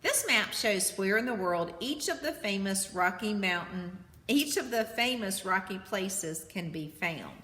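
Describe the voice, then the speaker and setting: Female teacher, read-aloud lesson, mid-pitched voice in a reverberant room with faint noise behind. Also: lively intonation, a little slow, hardly any pauses, sharp tone. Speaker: teacher reading aloud to students. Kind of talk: read-aloud lesson from a children's nonfiction book. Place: indoor room with heating running. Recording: echoey, reverberant room with background hum. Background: heater noise.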